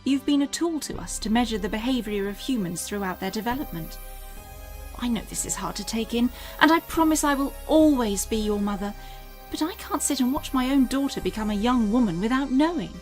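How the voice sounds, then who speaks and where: Woman acting grave, high-voiced secretive mother. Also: animated, a little mellow, faint music behind, close to an everyday voice. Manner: grave, deliberate explaining. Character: earnest, secretive alien mother confessing to her daughter. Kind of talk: audio drama acting.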